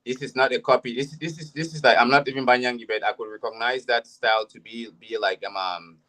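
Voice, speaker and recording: Panel guest, man, mid-pitched, a musician, halting delivery with lots of restarts. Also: fairly even intonation, sharp tone, low-quality recording. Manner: hesitant, restarts, many fillers. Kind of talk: panel discussion.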